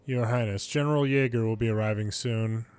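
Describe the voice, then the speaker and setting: Man, medium pitch, dramatic read-aloud of game script, soft background music. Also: fairly even intonation, brisk, clear, resonant tone. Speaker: video game commentator reading subtitles aloud.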